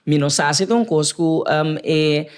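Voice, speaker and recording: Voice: mid-range voice, a little hiss or hum. Curaçaoan podcast guest, hesitant delivery, mid-range voice. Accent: Curaçao Papiamentu. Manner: halting, searching for words. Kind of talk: casual podcast conversation.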